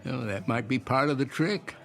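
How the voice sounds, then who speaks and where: An actor playing a sly, teasing and mysterious gentleman in a radio drama, with formal, theatrical diction. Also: medium pitch, normal speed, gravelly, faint music behind.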